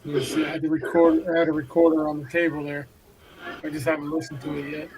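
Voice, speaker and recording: A man's voice: on the high side, completely flat delivery, fast, poor audio. Explaining man over crowd chatter. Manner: explains events to viewers. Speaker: paranormal investigator host. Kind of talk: casual explaining.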